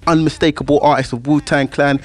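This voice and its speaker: A man's voice: on the high side, flat delivery, a little fast. A man working as a host, asking questions in a casual music interview.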